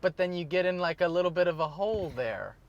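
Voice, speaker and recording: A man's voice: high-pitched, animated, fast, highs cut off. A man co-hosting a podcast, chatting casually and telling a story that keeps tripping over its own restarts. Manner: rambling storyteller, trips over his words. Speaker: podcast co-host. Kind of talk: casual chat.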